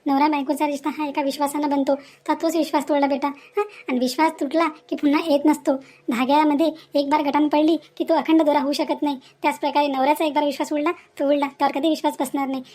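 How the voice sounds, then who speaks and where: A woman's voice: high voice, little pitch movement, quick pace, dark tone, lightly acted. A woman voicing a pleading, scolding motherly village neighbour in a cartoon drama, insistent and repetitive, with a rural Maharashtrian Vidarbha accent. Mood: firm, regretful. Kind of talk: Marathi cartoon moral drama dialogue.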